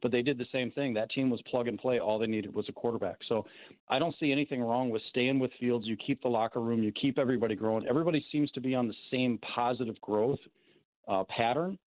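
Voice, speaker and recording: A man calling a sports radio show over the phone, laying out his take as a fan in a measured, reasoned way. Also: medium pitch. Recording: narrow, tinny phone line.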